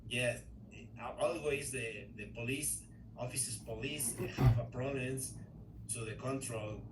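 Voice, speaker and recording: A man from Ecuador, a native Spanish speaker with an Ecuadorian Spanish accent, talking haltingly in English class with many fillers and restarts. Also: medium pitch. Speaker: English learner. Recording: echoey room, distant mic, faint noise.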